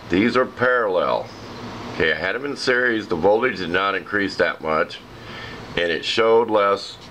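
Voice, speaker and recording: Low, rough-voiced American man. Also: a bit bright. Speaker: hobbyist demonstrating a homemade project. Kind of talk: casual explanatory demo. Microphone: handheld camera, speaker behind it. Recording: noisy home video with ambient sound.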